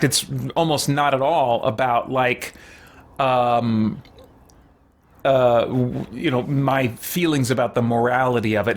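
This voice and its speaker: A man hosting a game let's-play, chatting casually and reflectively to viewers, thinking aloud in hesitant, looping, qualified sentences. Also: mid-range voice. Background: background music.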